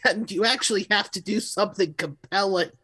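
A man's voice: high-pitched, a little fast, sharp tone, breathy edge. A man hosting a casual panel review, talking in a wry, rambling way and often qualifying what he says. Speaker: podcast host reviewing audio dramas.

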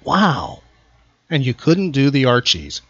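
A man's voice: medium pitch, huge pitch swings, slow, a bit bright, breathy edge, dry recording. A man hosting a casual trivia quiz, teasing and emphatic with his contestant. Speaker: podcast host and quizmaster. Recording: close, dry, slightly dull sound.

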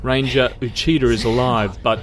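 A man playing a calm, practical military rescue worker in an audio drama, speaking in clipped procedural phrases. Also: mid-range voice, moderate pace, slight background noise, lightly acted. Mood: focused, businesslike.

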